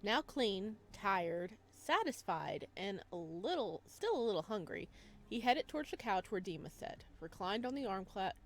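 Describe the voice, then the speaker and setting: A woman hosting a visual novel let's play, playful and expressive as she chats and reads aloud. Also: mid-range voice, a little hiss or hum. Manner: playful, expressive reactions, addresses viewers. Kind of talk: visual novel playthrough, chatty commentary and read-aloud narration.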